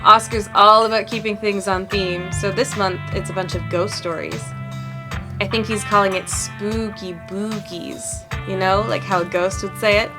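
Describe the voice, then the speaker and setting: A woman's voice: mid-range voice, steady tone, moderate pace, close to an everyday voice. Woman acting friendly chatty small town girl in her young adult years, playful, visual novel style, music behind. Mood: friendly, upbeat.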